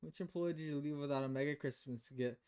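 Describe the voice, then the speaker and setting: A woman voicing a stern, world-weary female bartender in a visual novel, deadpan, blunt and measured. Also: low voice, clear, resonant tone, pitched below the performer's own voice, close to an everyday voice.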